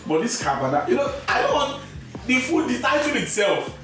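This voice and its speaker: A man's voice: extremely high pitch, very animated, fairly quick. Male podcast host, playful and laughing, distant mic in a very echoey room, music behind. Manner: playful, laughs often, teasing. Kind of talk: casual interview chat.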